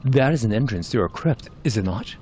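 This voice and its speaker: A man voicing a secretive, watchful bard from a fantasy game, with measured and formal archaic phrasing. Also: medium pitch, lively intonation, a little mellow, natural voice. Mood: concerned. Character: suave, secretive male bard, grave and watchful. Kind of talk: amateur narrated fantasy game cutscenes. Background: music.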